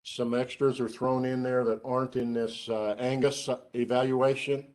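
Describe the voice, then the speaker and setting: A middle-aged man giving a seminar lecture to students, who calls his own voice monotone and drops dry joking asides while he asks the room questions. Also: mid-range voice, normal speed. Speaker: research scientist giving a seminar.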